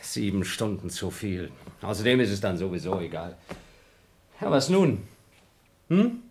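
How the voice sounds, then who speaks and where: An actor playing an astronaut on a moon station who is agitated and impatient and keeps exclaiming. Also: male voice, fairly low pitch, very animated, a little slow, darkish tone, a little hiss or hum. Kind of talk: 1970s radio play acting.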